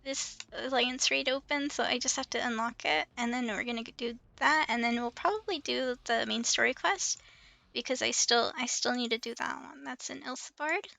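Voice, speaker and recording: A woman's voice: a little high, normal speed, gravelly, sharp tone, no echo. A woman livestreaming a game in casual chat, playful and giggly as she comments and reads out dialogue. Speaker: livestream host playing an online game.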